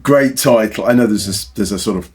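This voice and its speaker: A man's voice: lowish voice, fast, darkish tone, slightly rough. A man hosting a podcast interview, asking long, rambling questions full of restarts. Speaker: podcast host.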